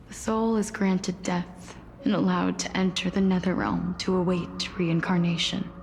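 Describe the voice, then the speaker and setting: A solemn female death deity from a fantasy game, tells her tale very slowly and softly. Her voice sits a little low with a creaky edge and drifts into breathiness, wistful and grave. Soft music plays behind her. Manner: slow, soft, solemn storytelling, often breathy. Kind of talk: scripted game character voice acting.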